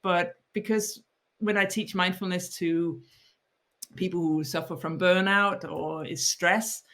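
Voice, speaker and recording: A woman's voice: fairly low pitch, a bit bright. A woman in her forties from Denmark with a Danish accent, explaining her ideas as a podcast guest, thoughtful, often restarting and repeating words. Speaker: guest author. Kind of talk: podcast interview.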